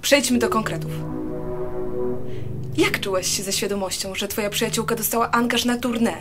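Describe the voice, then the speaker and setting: A woman's voice: a little high, fairly even intonation, normal speed, over loud music, natural voice. A woman playing a cool, self-assured detective in a radio drama, questioning in a composed, pointed way. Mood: cool, probing.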